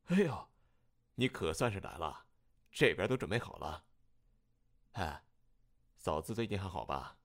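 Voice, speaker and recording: A man playing a genial middle-aged father in an audio drama, gently probing and hospitable. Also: sits low, very animated, unhurried, long pauses, exaggerated voice. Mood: welcoming. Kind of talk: modern audio drama acting.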